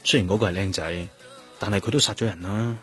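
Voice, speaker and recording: An actor with a Hong Kong accent playing a determined, probing police detective in a radio drama. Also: male voice, low-pitched, fairly even intonation, quick pace, a bit bright, slightly rough, heavily acted. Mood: serious, dogged. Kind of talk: Cantonese radio drama acting. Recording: music bed behind the voice.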